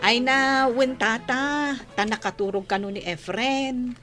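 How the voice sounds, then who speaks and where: A woman's voice: a little high, slow pace, fairly bright tone, faint music behind. A woman with an Ilocano accent playing an aggrieved, gossipy village sister-in-law in a comedy radio drama.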